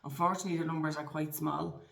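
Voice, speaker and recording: A woman in her forties with an Irish accent speaks in a very low register, earnest and encouraging, often restarting her phrases. The room around her is echoey. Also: fairly even intonation, fast, gravelly. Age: 40s. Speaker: guest. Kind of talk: conversational interview.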